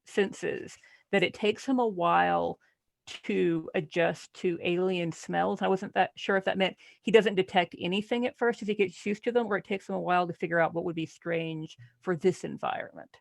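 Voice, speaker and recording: Female panelist, creaky voice, close dry sound, analytical casual book talk with deadpan humor. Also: fairly low pitch, moderate pace, no echo. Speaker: podcast panelist. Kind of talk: casual book club discussion.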